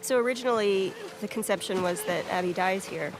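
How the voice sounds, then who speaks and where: A woman talking casually as a game developer giving commentary in a relaxed group discussion. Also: medium pitch, brisk.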